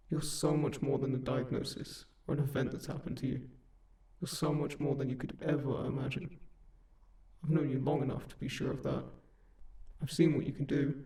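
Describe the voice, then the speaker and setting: A man playing a kind sleep paralysis demon in a comfort audio roleplay, speaking gently and reassuringly in an unhurried, gravelly voice. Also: mid-range voice, lightly acted. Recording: roomy, echoing, slightly distant voice.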